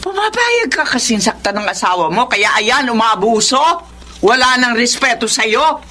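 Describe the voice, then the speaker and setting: A woman's voice: on the high side, lively intonation, moderate pace, pushed and bright, slight background noise. An actress with a Filipino accent playing a protective middle-aged mother who scolds and probes indignantly in a radio drama. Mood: scolding. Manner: indignant, probing, emphatic.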